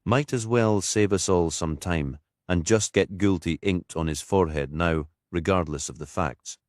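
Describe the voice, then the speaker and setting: A man voicing a gruff, sardonic police detective. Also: low voice, normal speed, no echo, clean studio sound, close to an everyday voice. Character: gruff, sardonic male police detective, world-weary and commanding.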